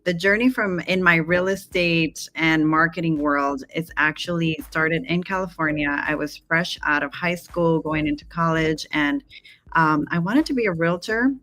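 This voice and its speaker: Low-voiced, bright, animated woman storyteller. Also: normal speed. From Guatemala, raised in Los Angeles. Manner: animated, acts out quoted dialogue. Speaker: marketing guest. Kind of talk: casual storytelling interview.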